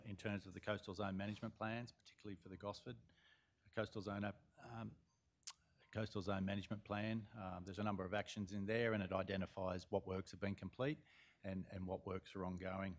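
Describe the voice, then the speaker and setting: A man, a council officer, briefing the meeting on a report in a measured way with fillers, in a large council chamber. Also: low-pitched, flat delivery.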